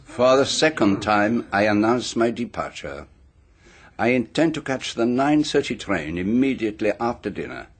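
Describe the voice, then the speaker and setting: A self-assured, pompous detective in a radio mystery. His husky voice swings widely in pitch as he lays out each point with formal, deliberate grandeur. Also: lively intonation. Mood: grandly announcing. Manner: deliberate, formal, theatrical phrasing. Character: pompous, meticulous male private detective, theatrical and self-assured. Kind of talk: radio mystery drama acting.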